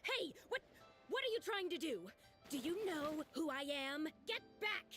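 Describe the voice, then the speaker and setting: A woman's voice: very high-pitched, big pitch swings, moderate pace, over music. An actress voicing a feisty, easily flustered young woman in a video game. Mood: defiant, shouting. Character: defiant, panicky young woman wanting apprenticeship.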